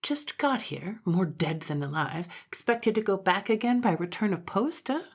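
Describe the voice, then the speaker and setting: A woman's voice: mid-range voice, expressive, normal speed, breathy edge. A woman voicing a posh, languid young male dandy from a storybook, drawling blustering upper-class slang. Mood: grumbling indignation.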